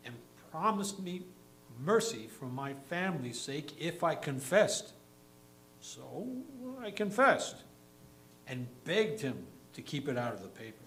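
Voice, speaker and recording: Man as a rueful disgraced clerk, swooping pitch. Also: poor audio. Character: disgraced, pleading small-town clerk and family man, regretful. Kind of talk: dramatic monologue, staged poetry reading. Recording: noticeable hum and background noise.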